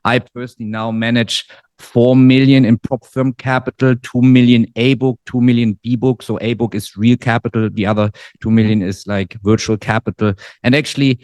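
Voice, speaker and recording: A man in his forties from Germany with a German accent, talking in a relaxed interview with many fillers and restarts. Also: medium pitch, normal speed, clean tone, no echo. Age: 40s. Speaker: guest trader. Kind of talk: casual interview. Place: living in Dubai.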